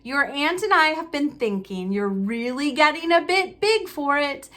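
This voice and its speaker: A woman voicing a gruff, blustering middle-aged uncle in a storybook, snapping and sneering. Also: high-pitched, lively intonation, unhurried, pitched above the performer's own voice. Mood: forced niceness. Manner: snapping, sneering, forceful delivery.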